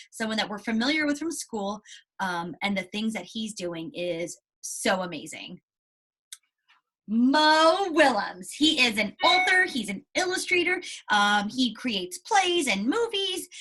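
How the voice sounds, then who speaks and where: A woman's voice: on the high side, a bit bright, breathy edge. A woman teacher talking to first graders in an explanatory way, often checking in with a questioning "right?". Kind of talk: read-aloud lesson talk to young pupils.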